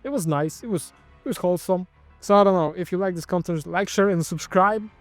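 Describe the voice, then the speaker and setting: A man's voice: high voice, a little fast, no room sound, faint music behind. A man with a Polish accent hosting his own channel, speaking in a loose, wry commentary monologue that often trips and corrects itself. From Poland. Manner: rambling, self-correcting, wry. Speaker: channel host.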